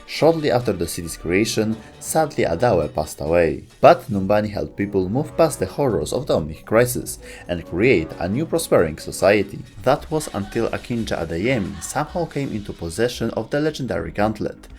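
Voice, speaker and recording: Male explainer narrator, lower register, animated delivery, scripted read over a music bed. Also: moderate pace, hardly any pauses. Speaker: narrator of a video game lore explainer. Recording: music under the voice.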